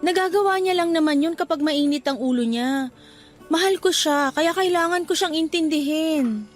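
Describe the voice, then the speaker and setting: An actress with a Filipino accent playing a meek, forgiving young woman in a radio drama, apologetic and soft-hearted. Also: sits high, moderate pace, faint music behind, lightly acted. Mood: defensive, pleading. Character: meek, forgiving young woman excusing her jealous boyfriend.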